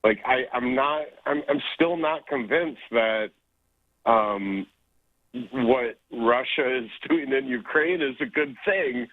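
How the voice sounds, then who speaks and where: A man's voice: medium pitch, expressive, slow pace, no room sound. Stammering male caller on a phone line. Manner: hesitant, stammering, many fillers.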